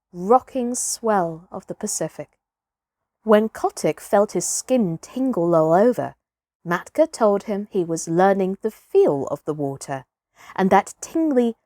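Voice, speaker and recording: A woman reading a children's storybook aloud as host, in an expressive, theatrical narrating style. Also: mid-range voice, no room sound, clean studio sound.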